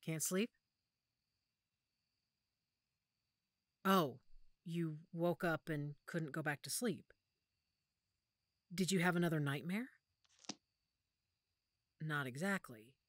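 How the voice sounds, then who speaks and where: A woman acting a protective, weary werewolf pack leader in an audio roleplay, speaking softly and measuredly with thoughtful pauses. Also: sits low, dry recording. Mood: gentle. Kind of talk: audio roleplay drama, one-sided conversation.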